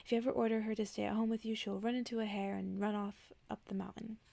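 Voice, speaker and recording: A woman plays a solitary storybook witch, stern and guarded. Her speech is terse and hushed, with heavy creak and a dark, even tone. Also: medium pitch, fast, no echo. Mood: stern, cold. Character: solitary, severe, guarded cave-dwelling witch.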